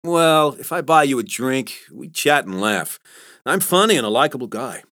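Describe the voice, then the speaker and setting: A man voicing a sly, middle-aged male sales boss, smooth and self-mocking. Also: mid-range voice, expressive, moderate pace, bright, forceful tone, dry recording, studio quality, pitched above the performer's own voice.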